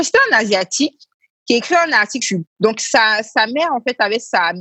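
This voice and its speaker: A woman answering interview questions in a husky, breathy voice. She talks through her thoughts haltingly and repeats words as she searches for them. The sound is close and dry, with little room around it. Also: fairly high pitch, fairly bright tone, no room sound. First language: Senoufo heritage, speaks it only at a basic level. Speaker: guest, children's reading workshop organizer.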